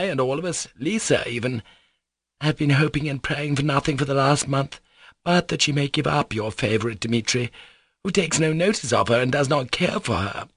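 A man's voice: medium pitch, a bit bright, husky, no room sound, clean studio sound, voice pushed up. A man voicing a gushing, flighty middle-aged society mother who exclaims and repeats herself in an audiobook story. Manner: effusive, repetitive, exclaiming.

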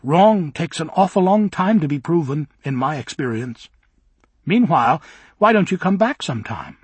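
Man doing a sardonic, sharp-tongued young woman. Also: a little high, lively intonation, normal speed, breathy edge, no room sound, voice pushed up. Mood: dry. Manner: dry, sardonic.

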